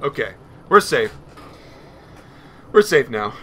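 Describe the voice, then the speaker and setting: A man's voice: medium pitch, very animated, slow. A man giving casual let's play commentary, rambling nervously and talking to himself as he plays. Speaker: gaming video host.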